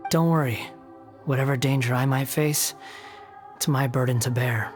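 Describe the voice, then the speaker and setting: A man's voice: mid-range voice, fairly even intonation, moderate pace, faint music behind, natural voice. A man voicing a remorseful, resigned young male puppet in a video game, grave, earnest and subdued. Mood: resolute, resigned.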